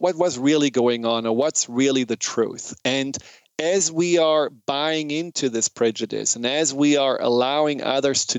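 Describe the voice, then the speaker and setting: Clear-voiced German-accented man giving a talk. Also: medium pitch, dry recording. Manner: earnest, reflective, explanatory. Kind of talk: informal educational monologue.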